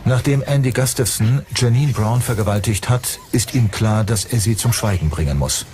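A man's voice: fairly low pitch, moderate pace. Male true-crime narrator over music. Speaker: documentary narrator.

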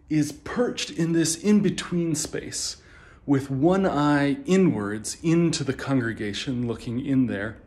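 A man in his thirties, a minister, chatting casually as he walks through an old church building, filmed on a phone held at arm's length. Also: a little high. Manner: chatty, relaxed tour-guide talk while walking. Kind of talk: casual walking tour. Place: old stone church building, indoors and on a roof.

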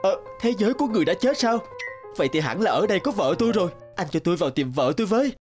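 A man playing a bold, devoted young widower who speaks earnestly in an animated fairy tale, with music behind. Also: high-pitched, very fast. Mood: bewildered, yearning.

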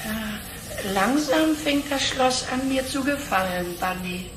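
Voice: high-pitched, lively intonation, slow pace. An actor playing a grumpy, timid, easily outraged male character in a children's cartoon audio play, hesitant and fussy. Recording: roomy, noisy old cassette.

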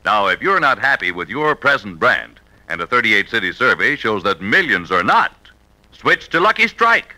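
An American man as a vintage radio announcer, delivering an emphatic, polished commercial pitch. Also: very animated, normal speed, bright, forceful tone, poor audio.

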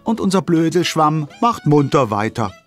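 A man playing a cheerful storyteller, narrating a German children's cartoon audio drama with animated delivery. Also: on the high side, normal speed, soft background music. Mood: wry, lively.

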